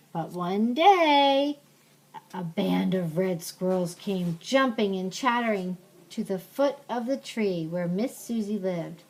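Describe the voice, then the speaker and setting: An older woman reading a storybook aloud like a grandmother, with gentle, simple asides to a young listener. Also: mid-range voice, rough recording.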